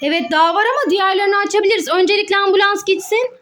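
A woman with a strikingly high voice presents toys as a mother playing along with her child. Her delivery is exclamatory and sing-song, pitched at young children in a playful narrating style. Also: racing, fairly bright tone, faint music behind.

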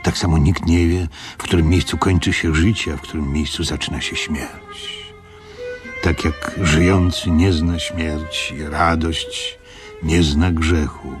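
Old pious innkeeper, radio play, rumbling low, unhurried, reflective and solemn, music behind. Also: male voice, fairly even intonation. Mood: questioning, solemn. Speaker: radio drama actor. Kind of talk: dramatic monologue in a radio play.

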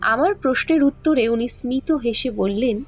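A woman's voice: on the high side, normal speed. A woman reading scripted first-person narration for a dramatized audio horror story. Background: faint background music.

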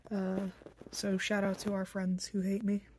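A young woman talking to a phone in a small bedroom, blunt and irritable, halting with many fillers. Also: mid-range voice, completely flat delivery, a little mellow, slightly rough. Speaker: vlogger introducing themselves. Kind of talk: casual self-introduction to camera.

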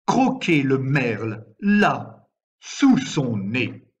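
A man reciting poetry in a measured, deliberate way, with frequent pauses between phrases. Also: mid-range voice, very animated, husky. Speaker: poet reading own work. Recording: slightly dull, lo-fi recording.

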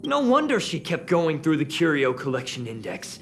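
A woman voicing an earnest, excitable young investigator in a sci-fi video game, expressively thinking aloud. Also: low voice, normal speed, a little hiss or hum. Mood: curious, analytical. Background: background music.

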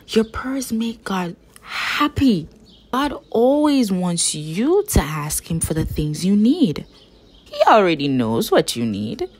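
Slow, very expressive woman reading to children. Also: on the high side. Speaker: read-aloud storyteller.

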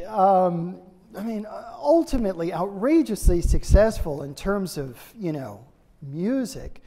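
A man in his fifties giving an enthusiastic stage introduction at a podium in a large hall. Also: high-pitched. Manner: warm, enthusiastic, emphatic repetition. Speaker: event host introducing the speaker. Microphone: podium mic. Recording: reverberant hall with audience murmur.

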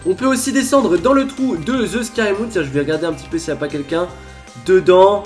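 A man's voice: high-pitched, quick pace, faint music behind. A man with a stuffy, congested voice giving chatty, playful Minecraft commentary as a gaming video creator. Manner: chatty, playful, bursts into laughing shouts. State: stuffy with a cold. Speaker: gaming YouTuber.

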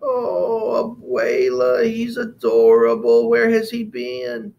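A man voicing a proud, sharp-tongued young female cockroach who speaks with dry, icy politeness. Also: high voice, sing-song, unhurried, creaky, highs cut off, voice pushed up, heavily acted. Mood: excited, anxious.

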